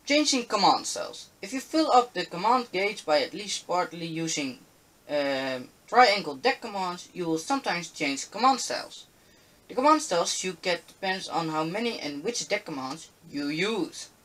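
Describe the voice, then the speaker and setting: A woman's voice: lowish voice, animated, moderate pace, fairly bright tone, slight background noise. A woman with a Dutch accent giving casual commentary on her game and reading out on-screen text. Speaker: gamer. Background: game music and effects.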